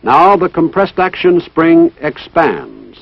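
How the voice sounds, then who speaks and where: Training film narrator: male, higher-pitched, formal and methodical, lively intonation, dull old soundtrack. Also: slow pace, creaky, no room sound. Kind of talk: scripted instructional narration. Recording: dull, band-limited vintage military film soundtrack.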